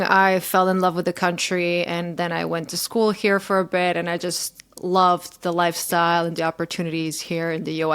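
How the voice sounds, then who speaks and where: A woman's voice: fairly low pitch, fairly bright tone, smooth. A woman in her thirties from Croatia, a podcast guest joining remotely and telling candid stories in casual chat. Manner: chatty, candid storytelling. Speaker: podcast guest, content creator. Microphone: remote video call.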